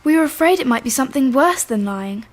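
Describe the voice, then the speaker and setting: Anxious, high-voiced schoolgirl. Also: expressive, quick pace. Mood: worried. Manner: hesitant, earnest, polite. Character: anxious, sensible, well-mannered schoolgirl, older sister. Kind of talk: radio drama acting.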